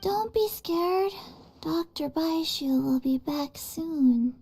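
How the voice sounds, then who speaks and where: Casting: soft-spoken young game heroine, reassuring, unhurried, high but warm tone. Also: female voice, heavily acted. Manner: calm, reassuring, measured. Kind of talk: video game character acting.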